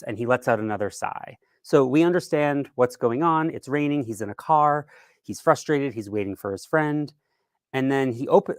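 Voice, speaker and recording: A man's voice: medium pitch, no room sound, clean studio sound. A man giving an informal instructional keynote talk at a virtual event, explaining in a conversational, somewhat hesitant way. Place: virtual event, speaking from a dry room.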